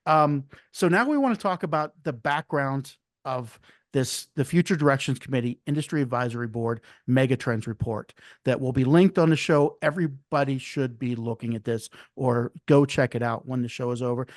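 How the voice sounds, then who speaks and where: A man hosting a podcast, talking in a relaxed, rambling way with restarts and fillers. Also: medium pitch, moderate pace, no room sound, studio quality. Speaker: podcast host. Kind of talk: casual interview chat.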